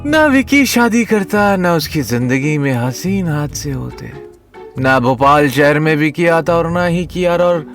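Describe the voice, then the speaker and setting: A man narrates a comic story with theatrical flair. His voice sits fairly high and moves around a lot, over a light music bed. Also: expressive, unhurried. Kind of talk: dramatic comic scripted narration.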